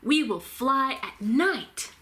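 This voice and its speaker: A woman voicing an earnest, excitable young female bat from a storybook, with emphatic exclamations. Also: high voice, slow, pitched above the performer's own voice, heavily acted. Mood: excited.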